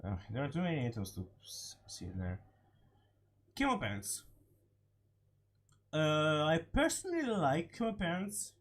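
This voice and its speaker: A man giving casual, unscripted game commentary, halting and repeating short phrases, his voice slightly hoarse from a cold. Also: on the high side, big pitch swings. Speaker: gaming video creator.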